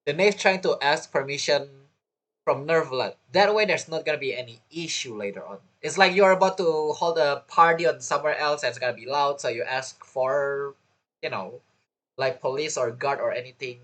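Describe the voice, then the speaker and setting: A man's voice: on the high side, normal speed, a bit bright. A man giving casual gameplay commentary, musing in a rambling, informal way. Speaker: gaming video creator.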